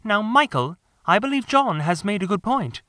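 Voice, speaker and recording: A man's voice: high voice, very animated, moderate pace, pushed and bright, dry recording, studio quality, pitched above the performer's own voice, full character voice. A man voicing a sensible young girl, a prim and motherly peacemaking sister, in an audiobook story. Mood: prim, firm.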